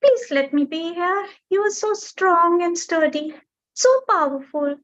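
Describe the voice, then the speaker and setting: A woman doing a tiny sweet storybook bird, very high sing-song pleading voice that sits far above her own, chatty and eager, on a dull lo-fi recording. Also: unhurried, a bit bright.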